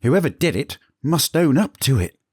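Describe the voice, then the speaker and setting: An indignant male cook, raised in pitch and volume above the reader's usual voice, protesting his innocence with mounting desperation, played by the narrator. He talks fast and hot. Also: sing-song, darkish tone, slightly rough, no echo, studio quality. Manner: defensive, indignant.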